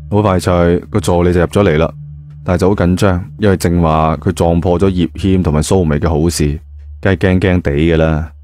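A deep-voiced man tells a story in colloquial Cantonese. His tone is mellow and dark, and his delivery is that of an audio drama narrator. Music plays clearly behind him. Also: steady tone, brisk. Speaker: audio drama narrator, also voicing the male lead. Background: background music.

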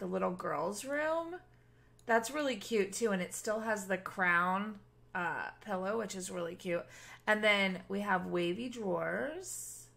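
A woman hosting a gaming video with casual, gushing, expressive commentary aimed at her viewers. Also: medium pitch, gravelly.

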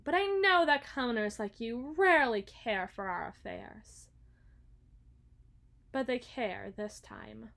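A woman playing a haughty, battle-hardened evil queen in an ASMR roleplay, speaking formally and deliberately with dramatic pauses. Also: on the high side, fairly bright tone, full character voice. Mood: proud, stern. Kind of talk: ASMR roleplay monologue, scripted.

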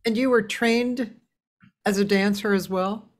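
A woman's voice: medium pitch, steady tone, a little slow. An older woman hosting an interview over a video call from her home office, reacting with encouraging short remarks. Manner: warm, encouraging listener with short reactions and laughs. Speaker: host.

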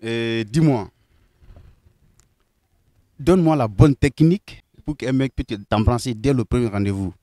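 A young man interviewing people in the street, teasing them with quick-fire questions, recorded on a handheld mic outdoors in a city square. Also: mid-range voice, warm tone, no echo, studio quality.

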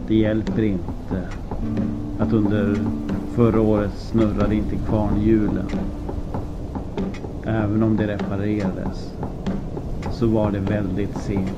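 A man narrating an audiobook from a script, in deliberate phrases with dramatic pauses. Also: on the low side, flat delivery, over loud music. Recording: muffled lo-fi with clear background noise.

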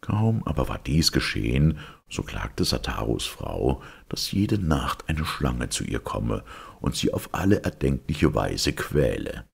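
A man reading a scripted ghost legend as a storyteller, in a measured, solemn manner. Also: rumbling low, sing-song, vocal fry, breathy edge. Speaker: storyteller reading folk tales.

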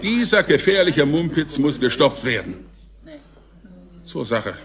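An actor playing a scornful, alarmed official chairing a crisis meeting, formal and oratorical, in a science fiction radio drama. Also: male voice, fairly high pitch, sing-song, measured pace, crowd noise. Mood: scornful, commanding. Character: scornful, alarmed male crisis committee chief.

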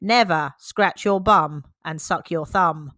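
A woman reading the lines of a brisk, no-nonsense male dog doctor in a picture book, firm and explanatory. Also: lowish voice, fairly bright tone, no echo, studio quality. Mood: lecturing.

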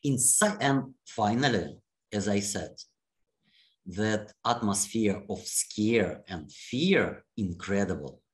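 A man with a Russian accent, speaking English as a second language, giving long deliberate answers in an interview and often restarting his words. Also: on the low side, animated. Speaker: interviewee, anti-war activist.

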